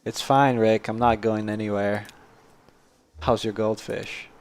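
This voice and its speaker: Gravelly-voiced man streaming a game. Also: lowish voice, flat delivery, a little slow. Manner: chatty, laughs at own jokes, reads game text in a half-acting voice. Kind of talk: casual game playthrough, reading dialogue aloud.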